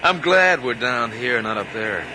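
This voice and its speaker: A man's voice: mid-range voice, expressive, unhurried, close to an everyday voice. An actor playing a cocky, greedy young gunman with a smug, musing, insinuating delivery in old radio drama style. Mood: smug, dreamy. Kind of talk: 1950s radio drama acting. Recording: noisy old radio recording.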